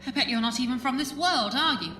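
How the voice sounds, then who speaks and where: A woman's voice: high voice, fast talker, pushed and bright, a little hiss or hum. An actress playing a tough, sardonic, embittered woman survivor in an audio drama, speaking bluntly. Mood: wry. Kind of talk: full-cast audio drama acting. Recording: roomy sound with music behind.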